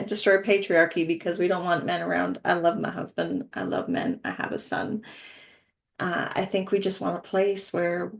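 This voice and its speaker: A middle-aged woman, a wellness coach, speaking earnestly and softly as she introduces and reads a short story aloud. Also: on the low side, vocal fry. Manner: earnest, soft-spoken, with small laughs. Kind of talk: casual intro then story reading.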